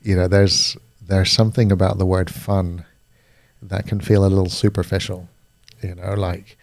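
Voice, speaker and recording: A man's voice: sits low, little pitch movement, moderate pace, creaky, no echo. A man with a British accent, a coach talking reflectively as a guest in a casual podcast conversation, often searching for his words.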